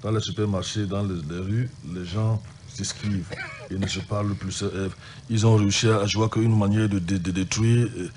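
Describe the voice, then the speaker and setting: Deep-voiced man, sick pastor hosting radio. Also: fairly even intonation, moderate pace, noisy background. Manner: conversational, reads out phone numbers and listener messages.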